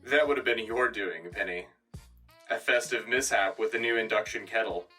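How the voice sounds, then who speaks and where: Male radio anchor role, man acting: composed, patriotic, dry wit, crisp bright delivery, music underneath, a little distant. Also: on the low side. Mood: dry, wry. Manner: formal, dryly witty, measured. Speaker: radio host. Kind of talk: scripted sci-fi radio newscast.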